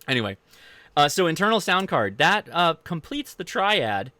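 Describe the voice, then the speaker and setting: Casting: American male hobby streamer, crisp bright voice, dry close sound, chatty and rambling. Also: fairly high pitch, big pitch swings, normal speed, no room sound. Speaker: host of a ham radio channel. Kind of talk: casual livestream chat, answering viewer comments.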